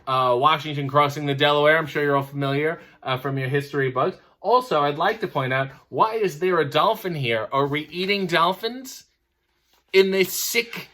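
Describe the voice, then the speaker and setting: A man's voice: fairly high pitch, moderate pace, sharp tone. A man reading a bedtime story aloud, breaking off into sardonic, mock-disgusted comic asides. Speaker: storyteller reading a picture book with commentary.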